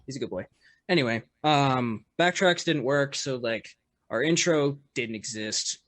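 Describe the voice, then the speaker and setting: A man, a musician guest on a podcast, with a loud voice, telling a story in casual chat full of fillers and restarts. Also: mid-range voice, a little slow. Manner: rambling, lots of fillers and restarts, laughs often.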